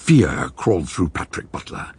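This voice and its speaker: Male narrator, very husky, low-pitched, dramatic storytelling. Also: wildly swooping pitch, moderate pace, a little mellow.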